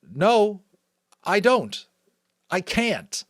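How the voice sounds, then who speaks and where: An American man voicing an earnest, unsure young boy in a storybook, speaking in a hesitant, halting way. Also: high-pitched, big pitch swings, no room sound, studio quality, voice pushed up, exaggerated voice. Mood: desperate.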